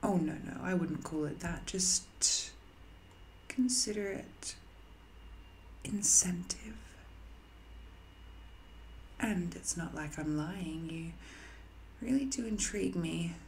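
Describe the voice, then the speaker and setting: A woman playing an ancient banished evil spirit in an intimate audio drama, seductive, lonely and sly, with a coy, breathy delivery that often slips toward a whisper. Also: on the low side, slow, pauses often, darkish tone, slight background noise, low-quality recording. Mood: teasing, seductive.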